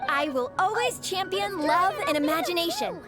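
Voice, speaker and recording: A woman's voice: extremely high pitch, animated, moderate pace. A woman voicing a youthful, supportive and earnest comrade in a video game, with music behind her. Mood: earnest, encouraging.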